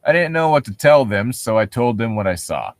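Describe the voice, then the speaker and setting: A man's voice: mid-range voice, big pitch swings, brisk, dry recording, studio quality. A man doing a mocking dramatic reading with sarcastic, profane ad-lib commentary and a giggly manner. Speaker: co-reader riffing on a horror story.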